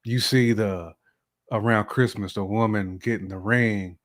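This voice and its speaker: A man's voice: fairly low pitch, fairly even intonation, measured pace. An American man in casual podcast conversation, talking colloquially and emphatically, often checking that the listener follows. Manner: colloquial, emphatic, with frequent "you know" check-ins. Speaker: podcast guest or co-host discussing relationships.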